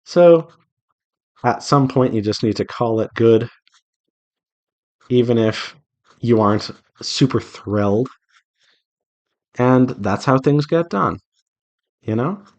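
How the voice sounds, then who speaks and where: A man's voice: medium pitch, animated, slow pace, long pauses, darkish tone. A man chatting casually to viewers as he works, thinking aloud in a rambling, self-reassuring way. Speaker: visual novel developer hosting a draw-along devlog. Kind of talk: casual solo chat while drawing.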